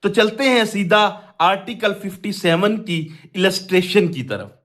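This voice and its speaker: A man's voice: high voice, fairly bright tone. A Pakistani man giving an explanatory law lecture in a didactic way, posing questions and then answering them himself. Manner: reads quoted provisions slowly in segments. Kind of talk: explanatory lecture mixing Urdu with English legal terms.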